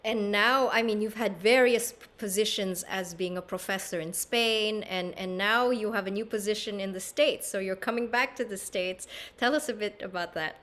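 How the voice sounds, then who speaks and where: A woman hosts an online conversation, asking long, winding questions with a few fillers. Her voice sits at an ordinary middle pitch. She speaks clearly on a video call. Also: moderate pace, few pauses, fairly bright tone. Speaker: host and pianist. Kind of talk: interview host.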